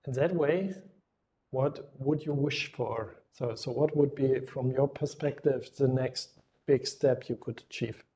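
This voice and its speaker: Mellow-voiced male moderator, medium pitch. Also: a little slow. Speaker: session moderator. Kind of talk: informal conference discussion.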